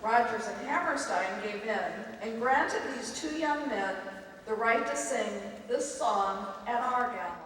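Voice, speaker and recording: A woman giving a prepared speech to an audience, in a measured and deliberate way. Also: a little high, fairly bright tone, a little hiss or hum. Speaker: event speaker. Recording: far-off in an echoey hall with a crowd.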